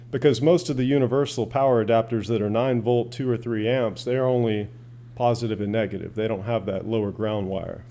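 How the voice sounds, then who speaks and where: A man's voice: on the low side, dark tone. A man giving a casual, plain-spoken, rambling product demonstration as a hobbyist reviewer.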